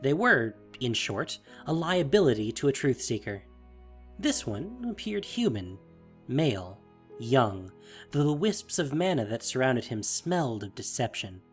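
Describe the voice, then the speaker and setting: A man's voice: medium pitch, big pitch swings, unhurried, soft background music. A man narrating a fantasy audio drama in a dramatic, scripted style.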